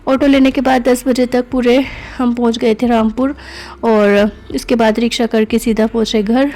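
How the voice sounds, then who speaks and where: A woman's voice: on the high side, darkish tone. Chatty young woman in vlog voice-over. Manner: chatty, many fillers like "na" and "matlab". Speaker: family vlogger.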